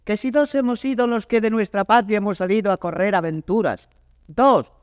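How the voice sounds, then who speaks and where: Actor as high-pitched cowardly comic manservant. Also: male voice, normal speed, no echo, clean studio sound, big-room sound, natural voice. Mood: complaining. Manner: exclamatory, complaining, clownish. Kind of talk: classical Spanish verse comedy, theatrical.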